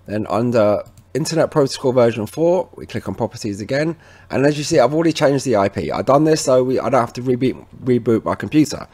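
A man presenting an informal, instructional software walkthrough, talking casually to viewers as he goes. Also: medium pitch, animated, fast talker. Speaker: tech tutorial presenter. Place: indoors at a computer, voice over screen recording.

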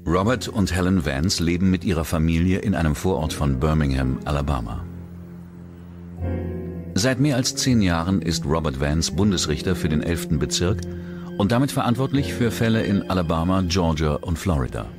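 A man's voice: low voice, animated, normal speed. A man reads a scripted true-crime documentary narration. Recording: music bed behind the voice. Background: background music.

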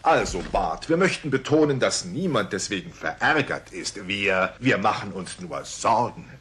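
An articulate cartoon school psychologist, earnest and eagerly curious. The delivery is lively, with pitch that moves a great deal. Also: male voice, normal speed. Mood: concerned. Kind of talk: German cartoon dub acting.

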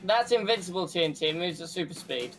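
A man's voice: high voice, expressive, fast talker, a bit bright, rough recording. A man playing a cheeky, eager superhero sidekick in a casual improvised video game roleplay. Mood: cheeky, teasing. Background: music.

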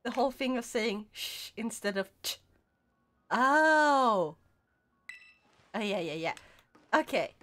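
A young woman with a Swedish accent tinged by British English talks with her chat while she plays. Her voice sits a little high and leaps around a lot as she giggles between remarks. She takes her time, drawing words out at an easy pace. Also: sing-song, slow pace, pauses often, fairly bright tone, soft background music. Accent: Swedish with British, Scottish and Irish touches. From Sweden. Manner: giggly, often breaks into laughter. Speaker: streamer. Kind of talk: casual live-stream chat while gaming, reading chat aloud.

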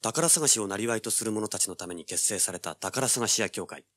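A man's voice: lowish voice, normal speed, a bit bright, dry recording. An actor playing a cocky, cool-headed young treasure hunter who narrates his own hard-boiled adventure in an audio drama. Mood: tense, alert. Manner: hard-boiled, self-assured.

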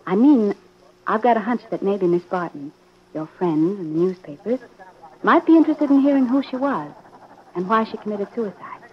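A woman's voice: medium pitch, expressive, moderate pace, slightly rough. An actress playing a desperate, scheming young woman in love, melodramatic and pointed, in old-time radio drama. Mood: threatening. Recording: dull, noisy old radio recording.

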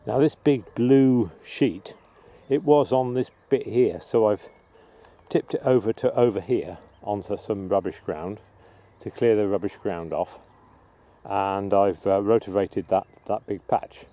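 A middle-aged man giving a casual, unhurried, matter-of-fact gardening vlog demonstration in a rambling way. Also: on the low side, warm tone, muffled recording. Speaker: allotment gardener presenting own video. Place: outdoors on an open allotment plot. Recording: outdoor background noise with birdsong.

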